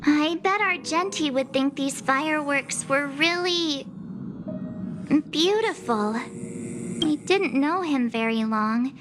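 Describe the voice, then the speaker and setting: A woman voicing a sweet, naive, wide-eyed young girl adventurer in a video game. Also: sits high. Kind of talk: video game character acting.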